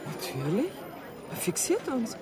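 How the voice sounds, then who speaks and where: Animated, teasing middle-aged woman. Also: fairly high pitch, measured pace. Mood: suspicious. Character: Desirée, observant, teasing middle-aged lover on holiday. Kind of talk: radio drama acting. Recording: busy casino crowd behind. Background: casino crowd.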